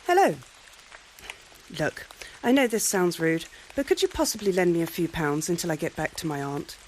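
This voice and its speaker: A woman's voice: lowish voice, expressive, normal speed, lightly acted, poor audio. An actress playing a bold, haughty well-off woman in her mid-twenties in an audio drama, forthright and formal. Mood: forthright, self-assured. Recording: light rain noise behind.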